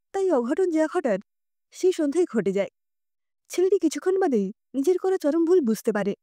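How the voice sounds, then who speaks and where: Casting: female audio-story narrator, high mellow voice, animated delivery, dry recording. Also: no echo.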